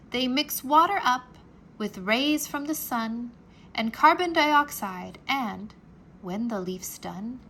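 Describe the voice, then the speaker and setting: A woman reading a children's book aloud like a teacher, expressive and carefully enunciated for young listeners. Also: a little high, slight background noise, rough recording. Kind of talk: children's read-aloud narration, rhyming nonfiction.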